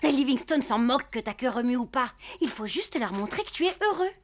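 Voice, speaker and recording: A woman's voice: high voice, expressive, a little fast. A woman voicing a sly, bossy animal posing as a cat in a children's cartoon.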